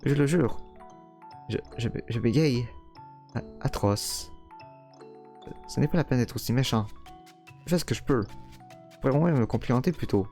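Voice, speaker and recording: A man's voice: medium pitch, normal speed, long pauses, a little mellow, soft background music, natural voice. A man voicing an earnest young foreign woman in a visual novel who stammers when flustered. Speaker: streamer reading a visual novel. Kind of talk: let's play voice reading.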